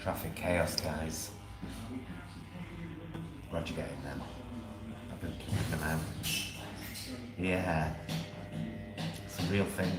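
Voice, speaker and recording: A man's voice: very deep voice, steady tone, takes it slowly, pauses often, loud music behind the voice. A man chatting casually on a vlog. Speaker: vlogger getting a haircut. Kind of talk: casual chat. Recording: echoey room, clear background noise.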